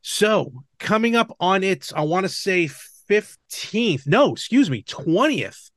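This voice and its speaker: A man hosting a casual chat podcast, talking in a halting, measured way with small asides and self-corrections. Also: sits high, expressive, fairly bright tone, no echo, clean studio sound. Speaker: podcast host. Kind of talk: casual chat about games and film.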